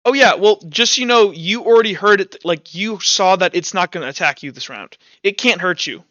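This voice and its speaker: A man's voice: high-pitched, expressive, a little fast, sharp tone, dry recording, lo-fi sound. A young man running a tabletop roleplaying game as game master, talking informally and thinking aloud as he narrates.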